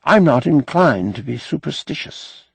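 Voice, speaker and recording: A man voicing a calm, courteous male officer who speaks slowly and deliberately in an audiobook. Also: on the high side, gravelly, breathy edge, dry recording, pitched above the performer's own voice, exaggerated voice. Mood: calm, sincere. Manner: slow, deliberate speech.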